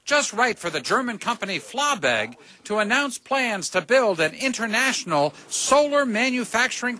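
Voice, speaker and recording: A middle-aged man reporting live as a TV news reporter, in a measured newscaster delivery. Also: sits high, bright, forceful tone.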